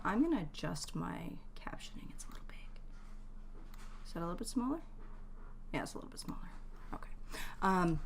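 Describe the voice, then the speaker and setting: A woman's voice: fairly low pitch, a bit bright. A woman streamer chatting casually to her viewers from home in an informal, giggly, chatty way. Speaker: game streamer. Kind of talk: casual livestream chat with viewers. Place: at home, in a shared space.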